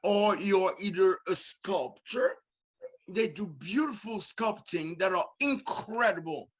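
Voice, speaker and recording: A man's voice: high-pitched, takes it slowly. A man with a Haitian accent, a musician guest talking earnestly in casual conversation and often restarting and repeating words.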